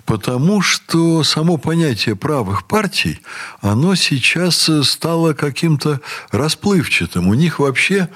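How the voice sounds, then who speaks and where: An older man speaking as a university rector and guest in a studio discussion, measured, with pitch that rises animatedly. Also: very animated, fairly bright tone.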